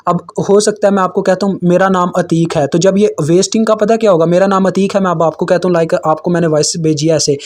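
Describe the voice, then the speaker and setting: Young Pakistani tutor, 20s, high but mellow voice, casual and chatty, slows down to read textbook lines. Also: male voice, fairly even intonation. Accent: Pakistani Urdu. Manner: informal, addresses viewers as kids, frequent fillers and restarts; slowly reads English textbook lines aloud. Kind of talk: casual explanatory lecture over slides.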